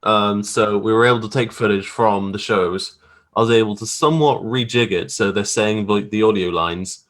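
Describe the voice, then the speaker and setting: Male interview guest, lowish voice, casual and loose, feeling his way through explanations of his creative work. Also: moderate pace, a bit bright. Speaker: guest graphic artist. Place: remote video call.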